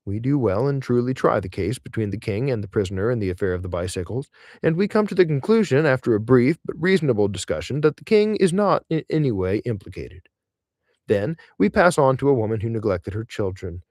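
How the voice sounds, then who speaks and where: Male audiobook reader, lowish pitch, measured and expressive, close dry recording. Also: no echo. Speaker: reader of an essay aloud. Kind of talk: audiobook-style narration of a literary essay.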